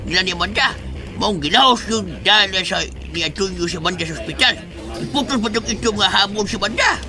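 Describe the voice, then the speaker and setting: Radio horror role: agitated male neighbour, gossipy, high-pitched, Cebuano Filipino accent, music behind. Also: animated, fast. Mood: agitated, gossiping. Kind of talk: horror radio drama acting. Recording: clear music bed with vehicle sounds.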